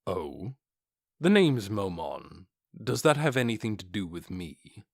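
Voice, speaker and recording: A man voicing a calm, coolly confident armored warrior in an audiobook, with an unhurried, dismissive manner. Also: deep voice, gravelly, no room sound, clean studio sound, heavily acted. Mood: aloof.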